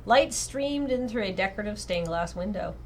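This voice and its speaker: A woman reading a visual novel aloud on a livestream in an expressive, casual way, often breaking off into playful asides. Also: mid-range voice, normal speed, slight background noise.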